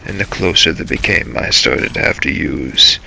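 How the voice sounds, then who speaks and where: A man narrating a game role-play as a first-person story, in halting, broken phrases. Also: extremely low pitch, creaky, pushed and bright, a little hiss or hum.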